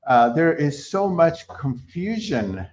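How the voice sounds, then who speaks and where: A middle-aged man from Argentina, a concert pianist being interviewed, who talks in a halting way with many fillers and restarts. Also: fairly high pitch, sing-song, darkish tone. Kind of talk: conversational interview.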